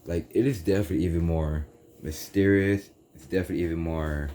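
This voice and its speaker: A young man with a very low, dark and mellow voice drifts through a loose spiritual talk, tossing in casual slang and backtracking on his words. A faint noise sits under the recording. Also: fairly even intonation, fast. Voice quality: deep voice. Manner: meandering, self-correcting, casual slang like "dude". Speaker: YouTuber. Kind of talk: rambling spiritual monologue.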